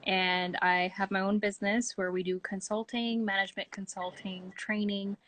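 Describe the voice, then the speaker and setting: A woman's voice: medium pitch, level tone, normal speed, a bit bright. A woman from Tanzania, giving friendly, casual answers as an interview guest. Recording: slightly noisy online call.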